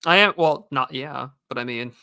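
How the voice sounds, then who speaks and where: A man's voice: mid-range voice, normal speed, creaky, pushed and bright, clean tone, no room sound, studio quality. A young man hosting a podcast in casual chat, hesitant and restarting often. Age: young adult. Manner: hesitant, many fillers and restarts. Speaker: podcast host and streamer.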